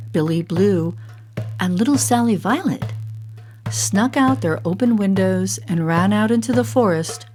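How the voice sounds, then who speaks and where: A woman reading a children's story in a theatrical, deliberate narrating style. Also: lowish voice, a little mellow. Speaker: storyteller, voice actor.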